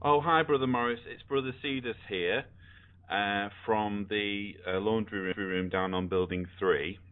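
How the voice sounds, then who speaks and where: A man's voice: lowish voice, fairly even intonation, a little slow, narrow, tinny audio. A British man in his thirties giving casual commentary as a vlogger. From United Kingdom. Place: small indoor room at a desk.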